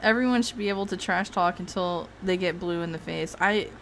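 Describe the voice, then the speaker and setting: A woman's voice: on the low side, a little fast, a bit bright, a little hiss or hum. A woman hosting a casual livestream, rambling and swearing freely, with frequent laughs. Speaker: streamer host.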